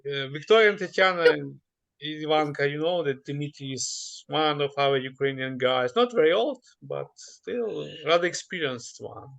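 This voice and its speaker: A man's voice: on the high side, normal speed, creaky, a bit bright. A man moderating an online Q&A session, speaking hesitantly with many filler sounds.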